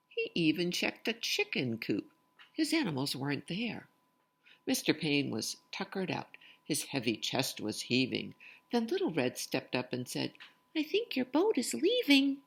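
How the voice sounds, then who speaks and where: Expressive woman storyteller with creaky voice. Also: rumbling low, measured pace. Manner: expressive, addresses young listeners. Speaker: read-aloud storyteller. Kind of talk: expressive picture-book reading with casual asides.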